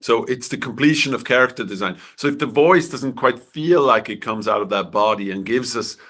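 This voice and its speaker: A man from Switzerland, a film director answering interview questions in a halting way with many restarts, clearing his throat as his voice goes. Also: medium pitch, fairly bright tone.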